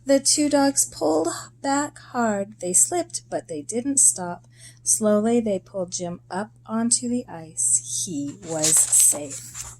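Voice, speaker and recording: A woman reads a children's story aloud at an unhurried, careful pace. Her voice sits at a medium pitch, and she shapes short phrases expressively with pauses between them. A faint noise runs behind her. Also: lively intonation, a little mellow, rough recording.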